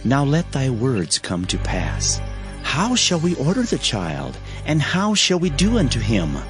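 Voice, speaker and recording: An actor playing an earnest, anxious and humble Israelite husband in a dramatized Bible reading, reverent and beseeching. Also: male voice, medium pitch, wildly swooping pitch, unhurried, music in the background. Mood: earnest questioning. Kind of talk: dramatized Bible audio acting.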